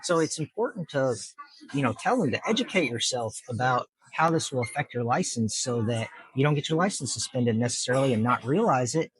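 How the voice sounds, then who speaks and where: A middle-aged man with a husky voice at an ordinary pitch. He speaks earnestly and plainly, explaining patiently with plenty of fillers as an interview guest. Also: expressive, brisk, no echo, poor audio. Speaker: district judge, guest. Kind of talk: casual interview answers.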